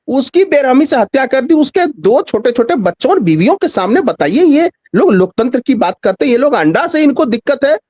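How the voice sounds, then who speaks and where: A man's voice: extremely high pitch, sing-song, brisk, hardly any pauses, a little mellow, no echo. A man speaking as a guest commentator in a political discussion, emphatic and repeating phrases for rhetorical effect.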